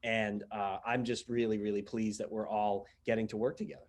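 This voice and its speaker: A man's voice: on the low side, fairly even intonation, fairly quick. A man hosting a casual promotional chat, informal and often repeating himself for emphasis. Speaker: interviewer and host. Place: virtual studio online call.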